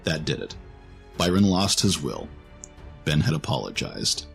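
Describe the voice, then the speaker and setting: A man's voice: a bass voice, sing-song, measured pace, gravelly, fairly bright tone, soft background music. A man narrating a scripted fantasy story in a dramatic storytelling style.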